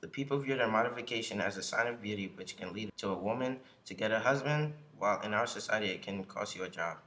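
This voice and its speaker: Fast, bright male narrator. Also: lowish voice, poor audio. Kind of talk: read narration.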